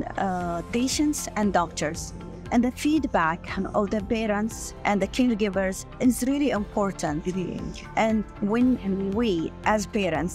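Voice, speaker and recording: Lady, earnest n emphatic interview guest, medium pitch, faint music behind. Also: darkish tone. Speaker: guest, mother of patients. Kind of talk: personal testimony in interview. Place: large open hospital lobby, indoors, seated on sofa.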